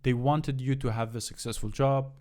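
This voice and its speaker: A man's voice: mid-range voice, steady tone, normal speed, a little mellow. A man from Iran in casual podcast conversation, speaking earnestly and reflectively. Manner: reflective, earnest, quotes his mother.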